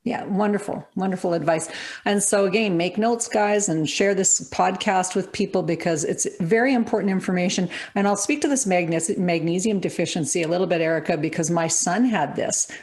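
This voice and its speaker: A woman's voice: lowish voice, normal speed, a little mellow. A woman hosting a podcast interview, affirming her guest and speaking directly to listeners. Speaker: podcast host.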